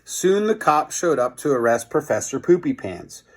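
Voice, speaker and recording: A man reading a children's book aloud in an expressive storytelling style, with chatty, casual asides. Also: fairly high pitch, moderate pace. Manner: expressive, chatty commentary between passages.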